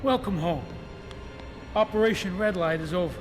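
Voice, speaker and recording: A man's voice: high voice, normal speed, lightly acted. A man playing a ruthless, domineering older general in a video game, commanding, hard and impatient. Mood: cold, ruthless. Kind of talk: video game cutscene acting. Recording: loud music behind the voice. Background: loud dramatic score.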